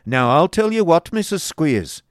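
Man acting a blustering schoolmaster, loud and high. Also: big pitch swings, moderate pace, no room sound, studio quality. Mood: stern. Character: bullying, self-important schoolmaster husband, stern yet wheedling.

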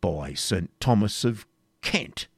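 A man's voice: fairly low pitch, lively intonation, slow, vocal fry, husky, dry recording, studio quality, heavily acted. Man playing a blustering, quarrelsome medieval friar. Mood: threatening. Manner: archaic, bombastic, quarrelsome. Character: burly, belligerent, blustering medieval friar, quick to fight.

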